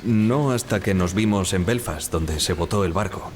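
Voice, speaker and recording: An actor playing a respectful young ship's radio operator giving formal testimony in an audio drama, over a noisy background. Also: male voice, sits low, moderate pace, lightly acted. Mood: formal, restrained.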